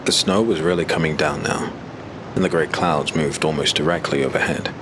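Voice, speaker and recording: A man narrating a dark fantasy audio drama in a measured, storytelling way. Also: extremely low pitch, noisy background.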